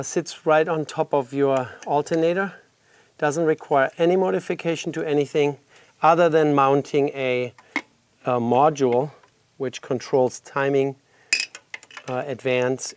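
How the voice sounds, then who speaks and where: A man's voice: on the high side, a little mellow. A middle-aged man with a congested voice from a cold, casually explaining motorcycle work step by step while he does it in his home garage. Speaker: garage video host. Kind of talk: casual workshop walkthrough.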